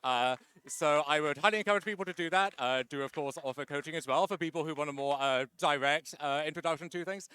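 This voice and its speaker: A man's voice: on the high side, quick pace, hardly any pauses, sharp tone, smooth. A man being interviewed after winning a card tournament, chatty and wry, with lots of "uh" fillers. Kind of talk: casual post-match interview.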